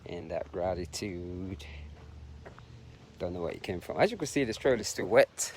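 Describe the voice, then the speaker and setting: Low-voiced guy talking slow and soft on a jog, outdoor mic with steady background noise. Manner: soft-spoken, musing, halting with pauses. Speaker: vlogger and long-distance runner. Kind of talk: casual reflective vlog while out jogging. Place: outdoors on a park trail. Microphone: handheld camera, self-filmed.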